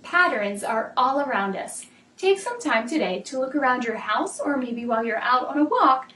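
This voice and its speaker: A woman hosts a storytime for young children in a high voice. She is a little away from the microphone, and the room echoes around her. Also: a bit bright. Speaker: children's librarian and storytime host. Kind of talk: presenting to preschoolers. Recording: echoey, slightly distant microphone.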